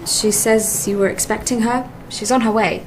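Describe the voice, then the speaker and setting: An actress playing an apologetic, matter-of-fact work colleague in an audio drama, brisk and businesslike. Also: female voice, on the high side, fairly even intonation, slight background noise, close to an everyday voice, low-quality recording.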